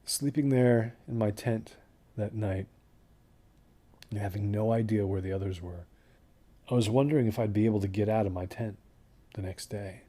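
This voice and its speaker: Man acting a haunted, low-voiced hike survivor, mellow. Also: lightly acted. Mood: weary, reflective. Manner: halting, hushed, trailing into near-whispers. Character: shaken male desert-hike survivor, haunted and weary. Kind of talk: audio drama monologue, first-person recollection.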